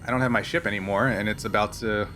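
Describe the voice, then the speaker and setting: A man playing a frank, weary smuggler held prisoner in a tabletop game, speaking in a halting, earnest way. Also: lowish voice, fairly even intonation, sharp tone, slight background noise. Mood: resigned, earnest.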